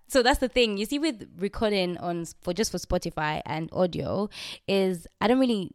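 A young woman, not a native English speaker, talks openly to her listeners in a relaxed, chatty way, full of fillers and small laughs. Her voice sits fairly low, and the sound is close and dry with hardly any room in it. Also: clean tone, dry recording. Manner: chatty and candid, many fillers and asides, laughing often. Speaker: podcast host. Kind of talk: casual faith talk to listeners. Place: indoors, recording herself on video.

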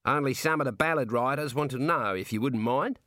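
A man's voice: medium pitch, fairly quick, bright, forceful tone, no echo, pitched above the performer's own voice, close to an everyday voice. Man acting male prison warder, cheerful, obliging, folksy slang, comic storybook, dry sound. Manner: folksy colloquial Australian-style slang. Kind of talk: comic audiobook character voice.

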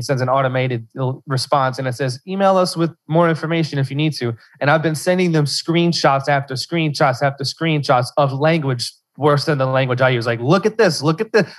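A young man from Louisiana, a podcast guest who talks in loose rambling bursts full of restarts and fillers. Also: on the high side. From South Central Louisiana. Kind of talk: casual interview chat.

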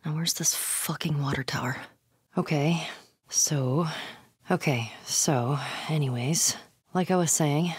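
A young American survivor in a naturalistic video game style. Her voice sits a little low and is husky, recorded very dry. She is tough and sardonic, muttering casually and swearing freely. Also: no echo, exaggerated voice. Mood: hushed, tense, then casual, focused. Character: tough, wry, foul-mouthed young woman survivor.

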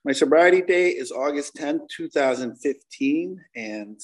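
A man's voice: a little high, animated, measured pace. A man in his forties with an American accent, sharing an informal personal testimony at a recovery meeting in a candid, slangy, conversational way. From Connecticut, United States. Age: 40s.

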